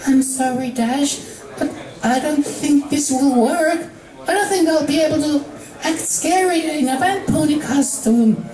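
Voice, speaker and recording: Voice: high-pitched, a little slow, pitched above the performer's own voice. A person voicing a timid, sweet young female pegasus pony, hesitant and apologetic, in a story reading. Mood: apologetic, nervous. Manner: hesitant, apologetic, soft-spoken. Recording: echoey room, distant mic.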